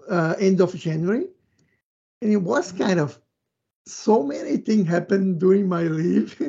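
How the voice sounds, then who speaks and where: A man's voice: sits high, creaky, dark tone, slightly rough. A man from Brazil with a Brazilian accent, giving an informal tech presentation in a conversational, loosely phrased way. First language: Portuguese. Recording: dull, muffled lo-fi audio.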